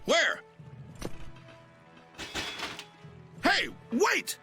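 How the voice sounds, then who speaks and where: Forceful, wildly animated knight officer. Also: male voice, very high voice, slow pace, faint music behind, exaggerated voice. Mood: alarmed, shouting. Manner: emphatic, barking orders. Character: blustering adult male knight officer, commanding and excitable. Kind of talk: animated video game acting.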